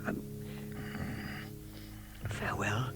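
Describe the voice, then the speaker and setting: A man's voice: drawn-out words, over loud music. An English man acting a small, fussy, good-hearted hobbit adventurer in a 1960s radio drama, with a polite, hesitant manner. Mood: grieving.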